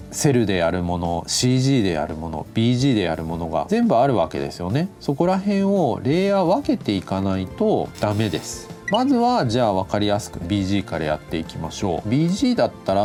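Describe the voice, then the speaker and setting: Animated low-voiced man teaching. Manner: thinks aloud, step-by-step explaining. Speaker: animation director giving a lecture. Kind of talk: instructional explanation, casual polite talk. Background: background music.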